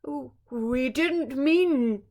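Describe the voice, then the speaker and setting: Woman as flustered fairy-tale king, stuttering, apologetic, highish creaky voice, frightened. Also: very slow, darkish tone, heavily acted. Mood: flustered fear. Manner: stammering, apologetic. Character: stammering, frightened storybook king, adult man.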